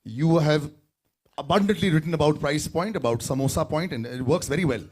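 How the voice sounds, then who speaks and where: A man's voice: a little high, a little mellow, breathy edge. A man with an Indian accent hosts a press event, steering the questions in mixed English and Hindi. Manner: brisk, directing the questions. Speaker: event host.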